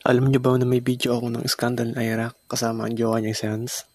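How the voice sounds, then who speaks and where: A man's voice: mid-range voice, monotone, fast talker, a little mellow, no echo, natural voice. A young man playing a gossiping student in an amateur radio drama. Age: young adult. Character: gossiping male student spreading a rumor. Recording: faint background noise.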